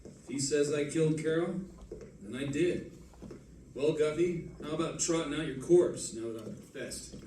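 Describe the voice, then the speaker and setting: A man's voice: a little high, moderate pace, dark tone, natural voice. A man playing a suave, unflappable, flippant amateur sleuth in old-time radio drama, with wry teasing banter. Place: live stage performance, mic at a distance. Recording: distant mic in echoey, noisy room.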